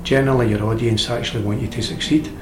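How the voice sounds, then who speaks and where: A man's voice: medium pitch, fairly even intonation, fast talker, reverberant, background noise. A man, a speakers club member, explaining in a conversational way as a radio interview guest, often restarting his words.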